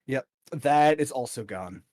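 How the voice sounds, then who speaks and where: A man acts as game master for a casual tabletop session. He speaks slowly, pausing as he works out the narration. His middle-pitched voice sounds dry, with hardly any room around it. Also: expressive, darkish tone, no echo.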